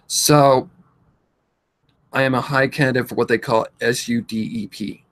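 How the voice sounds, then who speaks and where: A man of twenty-two speaking emotionally to his followers in a halting, repetitive, pleading way, distressed and close to tears, with slightly affected speech. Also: medium pitch, fairly even intonation, a bit bright. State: distressed, tearful. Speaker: vlogger. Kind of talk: emotional confessional talk to followers.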